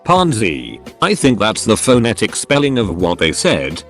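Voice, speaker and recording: A man with a fairly low voice reads online posts aloud, edit notes included, his pitch rising and falling a lot. He stays even and uninvolved throughout. Soft music plays quietly behind him. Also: measured pace. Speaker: narrator. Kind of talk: reading Reddit posts aloud.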